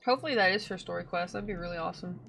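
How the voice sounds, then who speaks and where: A woman's voice: on the low side, racing, vocal fry, a crowd in the background. A woman streamer reacting casually to a game in short, slangy interjections. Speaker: gaming streamer. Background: game music.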